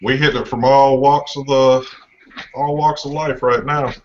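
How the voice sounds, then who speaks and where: A man hosting a casual live show, bantering in a relaxed way with guests and laughing often. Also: medium pitch, measured pace, highs cut off, a little hiss or hum. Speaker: show host.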